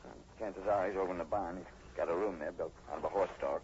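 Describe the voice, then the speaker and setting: American sergeant played by a man, low and fast, clipped, suspicious, commanding; muffled vintage horror radio drama. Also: fairly even intonation, a little mellow, close to an everyday voice. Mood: wary. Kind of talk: 1940s radio horror acting. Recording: muffled, noisy 1940s radio recording.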